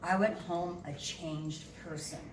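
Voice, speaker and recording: A woman in her fifties giving an animated, candid and emotional personal testimony as a guest speaker. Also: lowish voice, takes it slowly. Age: 50s. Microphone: room mic at a distance. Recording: echoey hall from far off, noisy.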